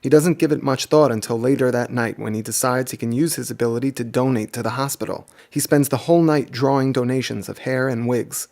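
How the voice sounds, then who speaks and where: A man's voice: mid-range voice, fairly even intonation, brisk, vocal fry, hardly any pauses, darkish tone. A man reading a scripted children's story as its narrator. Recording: music behind the voice. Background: background music.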